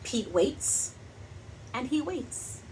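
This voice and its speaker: A woman reading a picture book aloud to young children, expressive and speaking to them directly. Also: sits high, very slow, noisy background. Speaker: parent and teacher reading aloud.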